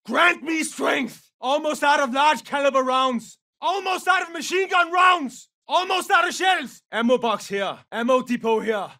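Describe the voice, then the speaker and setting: An actor playing a fierce Norse berserker soldier in a military shooter game, barking clipped, commanding battlefield callouts. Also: male voice, extremely high pitch, unhurried, gravelly, few pauses, bright, forceful tone, shouting, distant mic, exaggerated voice. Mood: battle fury, then terse, controlled. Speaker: operator calling out battlefield callouts.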